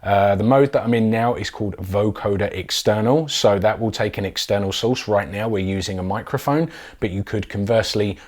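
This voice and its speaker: A man's voice: lowish voice, brisk, darkish tone. A man presenting a casual, chatty product demonstration in an informal way.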